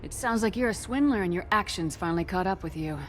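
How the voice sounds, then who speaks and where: A woman's voice: mid-range voice, lively intonation, fast talker, slight background noise, natural voice. A woman playing a tough, blunt military commander in a video game, speaking firmly and to the point. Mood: stern. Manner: blunt, firm, businesslike. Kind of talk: video game dramatic acting. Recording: long reverb, music behind.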